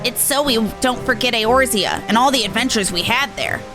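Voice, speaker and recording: A woman's voice: on the high side, normal speed, music in the background, lightly acted. A woman voicing a sweet young child in a video game, earnest and full of wonder.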